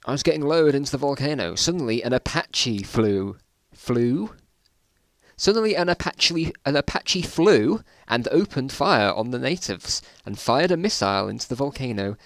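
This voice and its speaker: A man's voice: mid-range voice, lively intonation, normal speed, a bit bright, no echo. A man reading his own old stories aloud in a casual dramatic style, with self-mocking, bemused asides.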